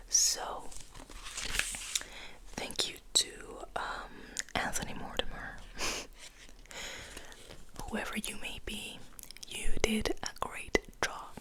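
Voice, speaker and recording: A woman whispering in a hushed, deliberate ASMR style, as host of a soft poetry reading, close to the microphone. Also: mid-range voice, low-quality recording.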